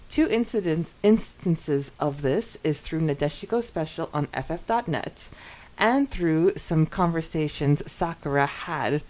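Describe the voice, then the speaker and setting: Female podcast co-host, lower-leaning pitch, giggly and expressive, relaxed conversational read. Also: poor audio. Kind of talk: casual chat and reading out listener messages.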